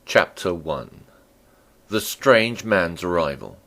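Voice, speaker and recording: A man narrating a classic novel as a dramatic reading, with theatrical, deliberate phrasing. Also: low-pitched, lively intonation, a bit bright. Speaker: audiobook narrator.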